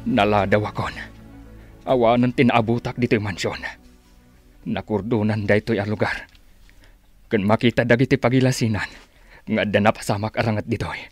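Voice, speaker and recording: An actor with a Filipino accent playing a determined tracker in a radio drama, seriously musing aloud to himself. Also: male voice, fairly low pitch, steady tone, normal speed, pauses often, soft background music, lightly acted. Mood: grim, resolved.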